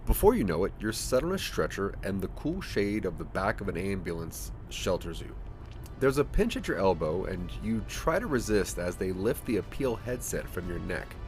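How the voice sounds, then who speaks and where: A man's voice: sits low, darkish tone. A man narrating a scripted second-person audio drama story.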